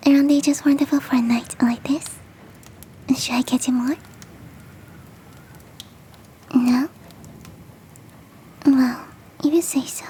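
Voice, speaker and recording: A woman voicing a big fluffy motherly yeti in an ASMR roleplay, cooing gently and teasingly in a soft, breathy whisper. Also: high-pitched, slight background noise. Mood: cheerful, doting. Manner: cooing, nurturing, slow and teasing.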